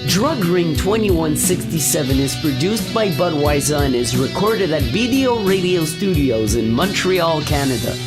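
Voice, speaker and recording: A scripted radio play narrator with an animated delivery. The voice rings with echo and long reverb, and music plays clearly behind it. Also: mid-range voice, normal speed, few pauses.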